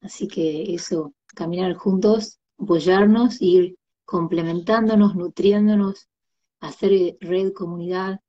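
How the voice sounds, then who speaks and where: A woman with an Argentine Tucumán accent, a guest on a live video call, speaking earnestly and gently. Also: lowish voice, steady tone, slow. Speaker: interview guest. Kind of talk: casual heartfelt conversation. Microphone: phone on a video call. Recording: dull lo-fi video-call audio.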